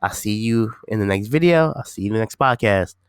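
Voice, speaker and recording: A man's voice: on the low side, animated, a little fast, clear, resonant tone, no room sound, clean studio sound. A man hosting his own podcast, sounding nasal and congested from a cold, rambling casually with repeats and fillers. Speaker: podcast host. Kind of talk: casual solo podcast talk.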